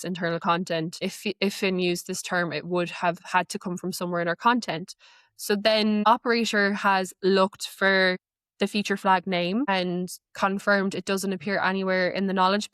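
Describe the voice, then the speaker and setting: A woman gives explanatory answers as an interview guest. Her voice is smooth and clear at a middle pitch, with fairly even intonation, recorded dry. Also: normal speed, dry recording. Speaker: guest, AI knowledge manager.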